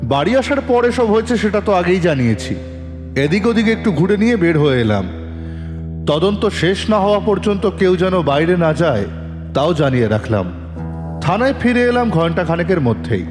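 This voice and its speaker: Man acting a probing police inspector, very animated delivery. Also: high-pitched. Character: composed, probing male police inspector recounting his case. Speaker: narrator and lead actor in an audio story. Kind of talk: dramatic first-person detective story narration. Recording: long reverb, music underneath.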